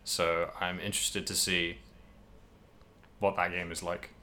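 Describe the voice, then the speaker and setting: A man's voice: deep voice, flat delivery, a little slow, pushed and bright. A man co-hosting a casual podcast about video games, talking in a rambling, jokey way. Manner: rambling, jokey, laughs often. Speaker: podcast co-host.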